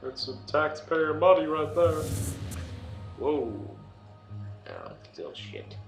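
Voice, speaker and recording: A man's voice: a little high, huge pitch swings, takes it slowly, gravelly. A man giving casual, sarcastic, silly commentary as he plays a video game. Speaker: let's play host. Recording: roomy echo, faint game music.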